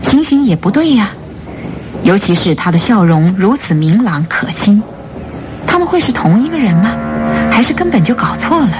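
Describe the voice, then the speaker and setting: A woman's voice: mid-range voice, big pitch swings, normal speed, noisy background. A woman narrating a radio drama in a scripted storytelling style. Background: music.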